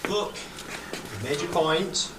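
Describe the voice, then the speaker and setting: Casting: pit official, higher-pitched, patronising and menacing, radio drama, noticeable background noise. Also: male voice, big pitch swings, slow, a bit bright, full character voice. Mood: patronising, coaxing. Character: stern, condescending male mine official turning threatening. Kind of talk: broadcast radio drama acting.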